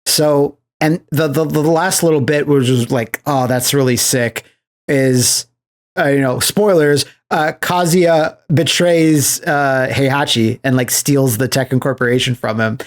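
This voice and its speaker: A man with a medium-pitched voice talks casually as a podcast host. He tells things like an animated storyteller, dropping little acted-out quotes into his recaps. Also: a little slow. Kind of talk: casual chat recapping films.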